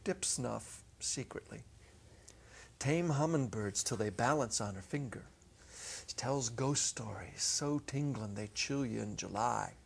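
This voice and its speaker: A middle-aged man narrating a story dramatically from a script, with deliberate phrasing and pauses. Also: mid-range voice, gravelly, a little hiss or hum. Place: small indoor stage set. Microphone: clip-on lapel mic.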